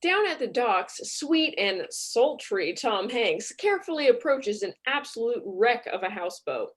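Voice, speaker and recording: A woman narrating a comedy radio play with sass and mock drama. Her voice sits a bit high and moves around a lot. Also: sing-song, measured pace.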